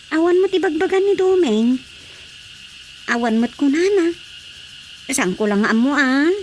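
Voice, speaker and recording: A woman's voice: high voice, expressive, measured pace, a little mellow, a little hiss or hum. An actress playing an earnest young woman who speaks respectfully to her mother in a radio drama. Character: young woman, devoted daughter. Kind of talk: Ilocano radio drama acting.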